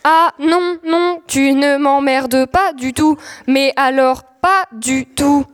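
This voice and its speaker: A woman's voice: high-pitched, slow pace, slight background noise. A teenage girl playing an earnest, courtly, oblivious knight in love, in a comic audio drama. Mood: lovestruck. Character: earnest, lovestruck, oblivious knight Don Quixote. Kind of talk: comic audio fiction acting. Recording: echoey hall reverb.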